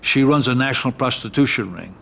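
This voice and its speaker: An actor playing a calm, calculating middle-aged American mafia lawyer and adviser in a video game cutscene. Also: male voice, mid-range voice, brisk, vocal fry, breathy edge, heavily acted. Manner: advising, composed.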